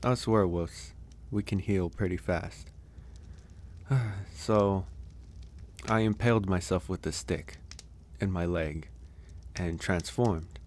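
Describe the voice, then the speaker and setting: A man playing a gentle, solitary werewolf in an ASMR audio roleplay, speaking softly and hesitantly, with sighs and pauses. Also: deep voice. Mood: sheepish, amused. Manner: hesitant, soft-spoken, unhurried with sighs and pauses. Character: gentle solitary male werewolf caring for an injured stranger.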